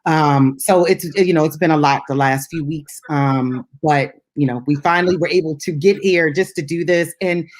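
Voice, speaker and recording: A woman's voice: low-pitched, normal speed, hardly any pauses. A woman co-hosting a music talk show, chatting casually and unscripted in a rambling, easygoing way with ready laughter. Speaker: radio show co-host.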